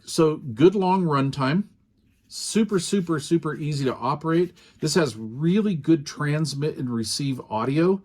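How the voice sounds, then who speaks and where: A man's voice: on the high side, lively intonation, a little slow, a little mellow. A man casually demonstrating and reviewing a small walkie-talkie in an easygoing, rambling way, with frequent ums and uhs. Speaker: radio product reviewer. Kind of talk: casual hands-on product demo.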